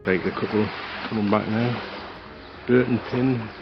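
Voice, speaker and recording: A chatty older man narrates his travel vlog from outdoors on a long pier, throwing in wry little asides. His voice sits very low. A clear music bed runs underneath him. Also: steady tone, unhurried, highs cut off. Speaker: travel vlogger.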